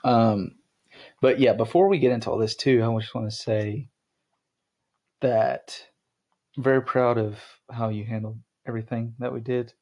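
A man's voice: medium pitch, steady tone, normal speed, long pauses, mellow, muffled recording. A young man hosting a podcast, chatting casually with his wife, rambling with lots of ums and restarts. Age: young adult. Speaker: podcast host.

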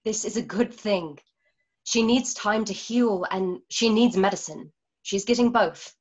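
A woman playing a calm, guarded healer in a radio drama, speaking in a measured, solemn way. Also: mid-range voice, natural voice. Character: calm, guarded female healer nicknamed Delicate Poison. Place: remote session on video call at home.

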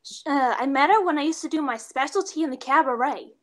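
Young actress doing a super high voiced tough lovesick chorus girl, slangy, 1940s radio comedy. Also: fast, natural voice. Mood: excitable, scheming. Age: young adult. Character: brash, lovesick young chorus girl, gun-toting wisecracker. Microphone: seated before camera on video call.

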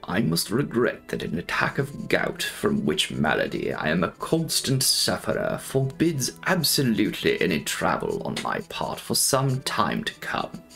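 A man voicing a senior English solicitor's formal, measured letter in a dramatic audiobook. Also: low-pitched, wildly swooping pitch, gravelly, a bit bright, faint music behind, voice pushed down, heavily acted. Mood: formal, confident.

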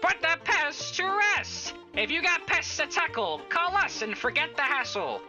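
A woman's voice: high-pitched, animated, normal speed. A woman playing a peppy pest-control announcer in a rhyming parody radio advertisement. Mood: peppy, salesy. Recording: dull lo-fi sound, music bed.

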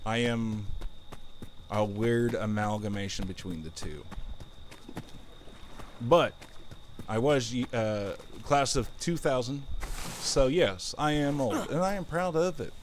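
A man's voice: mid-range voice, slow, pauses often, a little hiss or hum. A man in his thirties chatting casually and rambling with self-mocking humor while he streams a game. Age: 30s. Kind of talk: casual gaming livestream chat.

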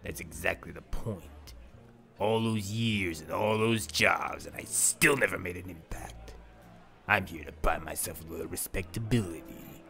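Man acting disgruntled career supervillain, deep gravelly voice, blunt, casual, faint music behind. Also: moderate pace, pushed and bright, exaggerated voice. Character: weary, disgruntled veteran male costumed criminal. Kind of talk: comic dub voice acting.

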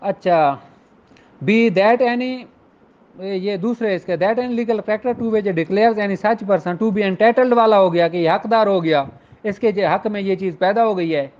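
A man's voice: high-pitched, darkish tone. A man with a Pakistani accent giving an informal, explanatory lecture as a law teacher. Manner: explanatory, switches between Urdu and slowly read English. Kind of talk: informal lecture, reading out and explaining legal articles. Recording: dull lo-fi recording.

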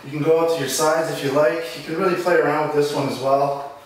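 A man's voice: fairly high pitch, steady tone, fast talker, a little hiss or hum. Man talking from across an echoey home gym. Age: 40s. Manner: talks while exercising, casual and a bit breathless. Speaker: fitness vlogger. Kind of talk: casual workout demonstration. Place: small home gym, indoors. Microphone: camera mic from across the room. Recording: echoey room, distant camera mic.

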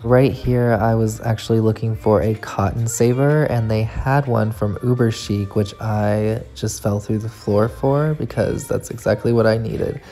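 A woman's voice: rumbling low, steady tone, measured pace, hardly any pauses, warm tone. A woman narrating a casual shopping haul vlog as a nail art YouTuber, gushing with enthusiasm as she shows her finds in a store and at her home desk.

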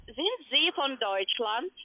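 A woman with a foreign accent and halting, broken German, pitching as a call centre sales agent. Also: very animated, dry recording. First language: not German. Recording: narrow crackly phone line, noisy.

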